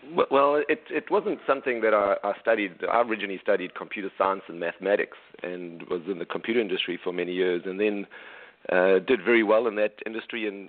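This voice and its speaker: A middle-aged South African man with a South African accent, an author and investment coach calling in by phone, talking in a halting way with many restarts. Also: low voice. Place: calling from home in Australia. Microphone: telephone. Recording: tinny phone line.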